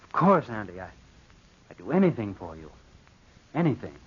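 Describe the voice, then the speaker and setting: An actor playing a coldly scheming, murderous nephew in an old-time radio drama, speaking with careful deliberation. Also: male voice, on the low side, sing-song, breathy edge, full character voice. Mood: nervous, solicitous. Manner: slow, deliberate, hushed narration and hesitant dialogue. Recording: muffled vintage radio recording with faint noise.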